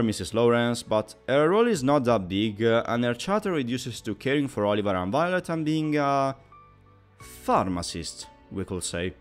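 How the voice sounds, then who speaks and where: A man giving a scripted video essay review as commentary, in a wordy, analytical way with emphatic phrasing. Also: mid-range voice.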